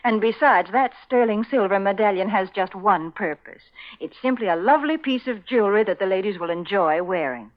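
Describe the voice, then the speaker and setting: A woman's voice: mid-range voice, animated, a little fast, few pauses. A woman reading scripted 1940s radio commercials with a friendly, homey sales delivery. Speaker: commercial spokeswoman. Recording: slightly muffled 1940s radio recording.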